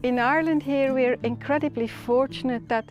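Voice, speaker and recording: A woman's voice: high voice, steady tone, over music. A middle-aged woman from Switzerland, a stud farmer, speaking thoughtfully and unhurriedly outdoors on her farm. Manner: thoughtful, unhurried, with small restarts. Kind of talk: documentary interview.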